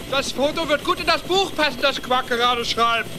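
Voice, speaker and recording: High, naive chubby duck boy. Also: unhurried, noisy background. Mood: gleeful, naive. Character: slow-witted greedy cheerful chubby boy duckling. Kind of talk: German cartoon radio play acting.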